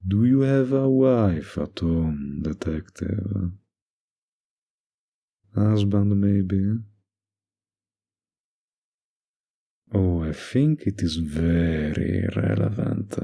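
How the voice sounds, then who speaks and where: Male actor as smug mafia boss, ASMR roleplay, mellow dark tone, heavy vocal fry, suggestive drawl, deliberate pauses, dominant and teasing. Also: low-pitched, animated, full character voice. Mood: provocative, flirty. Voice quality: gravelly, husky.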